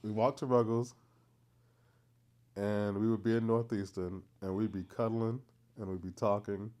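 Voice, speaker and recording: Boston guy in his 30s telling a story on a podcast, joking, goes up loud when he teases. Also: on the low side, normal speed. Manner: playful, animated storytelling, teasing. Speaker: podcast co-host. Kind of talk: casual chat.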